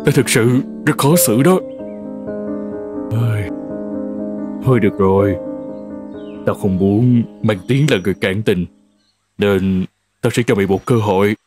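A man voicing a cold, grudging farmer in a cartoon folk tale, gruff and dismissive. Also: fairly low pitch, a little slow, pauses often, close to an everyday voice. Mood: dismissive, impatient. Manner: gruff, dismissive, sighing reluctantly. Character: cold, grudging male farmer, master of an old horse. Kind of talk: animated folk tale dialogue. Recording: music under the voice.

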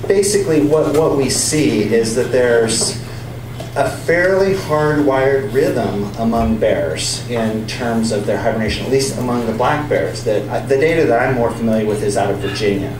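A man giving a public science talk and answering audience questions in a relaxed, explanatory way. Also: mid-range voice, moderate pace. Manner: relaxed, explanatory, with some restarts. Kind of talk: lecture and audience Q&A. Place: bar or pub venue with an audience. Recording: echoey, distant, noisy room.

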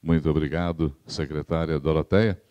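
A man's voice: a bass voice, fairly even intonation, moderate pace, a little mellow. A man acting as master of ceremonies formally introduces speakers and presenters at a podium in an auditorium, using a handheld microphone. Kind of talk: formal event announcing.

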